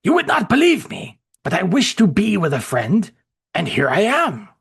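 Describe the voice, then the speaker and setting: A man's voice: high voice, huge pitch swings, normal speed, creaky, husky, pitched above the performer's own voice, exaggerated voice. A man voicing a ruthless male German soldier with a mock German accent, barking threats. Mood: bewildered.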